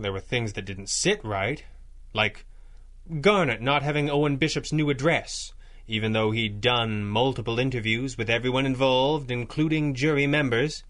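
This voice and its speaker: A man playing a confident, wry masked vigilante boss in a 1930s-style radio drama, measured and articulate. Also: medium pitch, fairly bright tone, natural voice.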